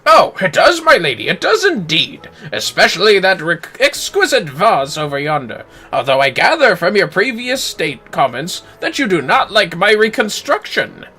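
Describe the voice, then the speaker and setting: A proud, verbose nobleman from a video game. The voice is raised and animated, bright and forceful, rolling through courtly phrases with great pomp. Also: sits high, moderate pace, hardly any pauses, breathy edge, faint music behind, exaggerated voice. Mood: flattering. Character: proud, effusive, long-winded noble father. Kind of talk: video game dialogue read aloud.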